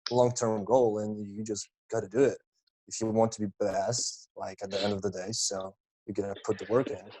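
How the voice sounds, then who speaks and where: A young man from Lithuania, a guest chatting casually on a podcast in a relaxed, laid-back way. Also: fairly low pitch, moderate pace, rough recording. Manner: relaxed, laid-back, calls people bro. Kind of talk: casual chat.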